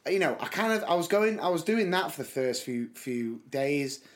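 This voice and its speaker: A young man, talking in a chatty, storytelling way with frequent laughs. His voice sits a little higher than most men's and has a slight hoarseness. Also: animated, normal speed. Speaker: podcast co-host. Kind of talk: casual chat.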